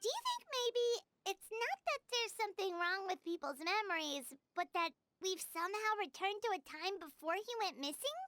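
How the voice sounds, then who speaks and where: A woman voicing a tiny, chirpy fairy girl companion in a video game, squeaky and childlike, earnest and curious. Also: animated, normal speed, hardly any pauses, sharp tone, exaggerated voice. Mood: puzzled, earnest.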